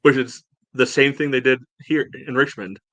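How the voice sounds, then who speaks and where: A man's voice: mid-range voice, normal speed, a bit bright, dry recording. A man co-hosting a podcast, discussing soccer casually and tentatively, often padding his points with filler phrases. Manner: hedging, conversational, frequent "you know". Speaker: podcast co-host, soccer fan. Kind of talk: casual sports analysis chat.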